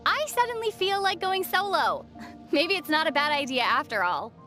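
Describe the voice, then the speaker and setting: A woman playing a young female adventurer in a video game, gentle and fondly teasing. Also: high-pitched, big pitch swings, normal speed, music in the background. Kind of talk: video game character dialogue.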